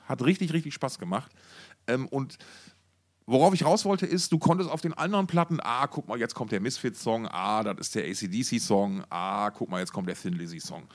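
A man co-hosting a podcast in casual, slangy, enthusiastic music talk, often repeating words as he searches for phrasing. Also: mid-range voice. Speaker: podcast co-host.

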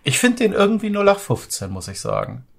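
A man's voice: sits high, huge pitch swings, brisk, gravelly. A man co-hosting a music podcast, chatting casually about metal in a rambling, explanatory way.

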